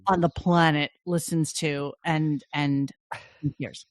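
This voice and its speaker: A man's voice: fairly high pitch, steady tone, takes it slowly, no room sound, studio quality. A man hosting a podcast that recaps a radio show, telling the story in a crude, meandering, casual way. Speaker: podcast host. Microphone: headset or desk mic on a live stream.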